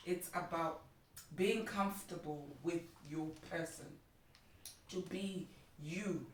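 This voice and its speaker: A somewhat low-voiced woman with a Zimbabwean accent, recorded in an echoey room away from the mic. She talks conversationally as a guest, stressing each point by rephrasing it. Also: takes it slowly. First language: Shona. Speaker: interview guest. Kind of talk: casual conversation about marriage. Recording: echoey room, distant mic, faint noise.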